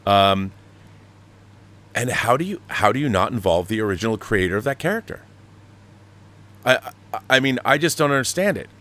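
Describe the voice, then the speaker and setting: A man's voice: mid-range voice, lively intonation, moderate pace, long pauses, bright, forceful tone. A man hosting a live talk show, giving emphatic, opinionated commentary with rhetorical questions.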